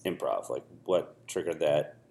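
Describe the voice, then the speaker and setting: A man hosting a podcast, chatting casually in a halting, hesitant way. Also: rumbling low, expressive, vocal fry, a little mellow, a little hiss or hum. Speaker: podcast host. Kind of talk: casual chat.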